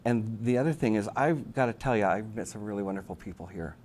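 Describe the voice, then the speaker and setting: A man telling anecdotes as an interview guest, chatty, animated and self-deprecating. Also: fairly low pitch, fast. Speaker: interview guest, actor and writer. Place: busy convention event room. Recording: clearly noisy live event room recording.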